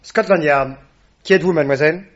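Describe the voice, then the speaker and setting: An actor playing a brusque police inspector who fires curt, pressing questions. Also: male voice, on the high side, a little fast, fairly bright tone, close to an everyday voice. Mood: brisk. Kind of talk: radio drama acting. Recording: dull lo-fi sound.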